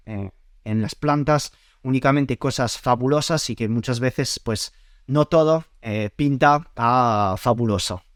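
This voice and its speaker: A man's voice: medium pitch, no echo, studio quality. A man from France with a French accent, explaining nutrition science to camera in a friendly, step-by-step way with small fillers. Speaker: nutrition YouTuber.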